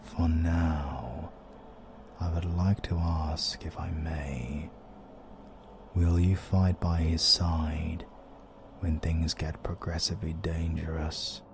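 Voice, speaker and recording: A man with a British accent voicing a grave, courteous otherworldly being in an audio drama, speaking formally in a gravelly, hushed voice. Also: very deep voice, full character voice. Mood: solemn, probing. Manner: formal, measured, ominously polite.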